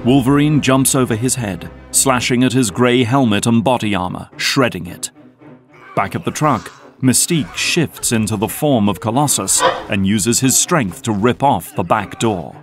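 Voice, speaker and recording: A man's voice: medium pitch, moderate pace, soft background music. A man narrating an action audio drama from a script.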